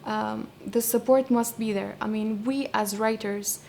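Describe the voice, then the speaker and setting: Woman, young adult, Kurdish accent, thoughtful interview answers, monotone, faint hiss. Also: on the high side, a little slow, gravelly. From Iraq, Kurdish region. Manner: reflective, many ums and restarts. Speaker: poet and translator.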